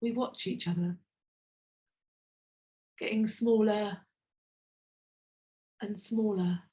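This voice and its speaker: A middle-aged woman from England performs her own spoken-word poem in a slow, intimate, reflective way. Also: mid-range voice, steady tone, warm tone. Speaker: poet. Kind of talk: spoken word poetry reading.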